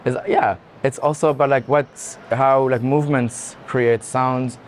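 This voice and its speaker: Male art director, casual and halting, mid-range voice, clear noise of a busy venue. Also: mid-range voice, steady tone. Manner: halting, loosely phrased with frequent "like". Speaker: art institution director on a fair tour. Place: busy art fair hall.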